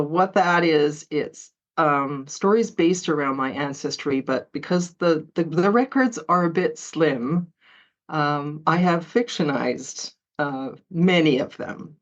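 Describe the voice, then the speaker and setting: Low-voiced woman author, muffled lo-fi sound. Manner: chatty, laughs often, hesitant fillers. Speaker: author guest. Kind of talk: conversational interview.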